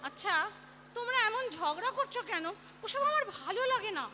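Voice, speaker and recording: A woman's voice: very high voice, lively intonation, fairly quick, a loud crowd. A woman playing a middle-aged housewife, a harried and conciliatory employer who sounds exasperated and flustered. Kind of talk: dramatised play reading.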